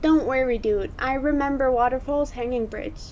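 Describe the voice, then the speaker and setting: A woman's voice: high voice, moderate pace, a little mellow, muffled recording, slight background noise, close to an everyday voice. A woman voicing a young, upbeat, laid-back monster kid with a casual, slangy manner in an audio drama. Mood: reassuring. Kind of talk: audio drama character acting.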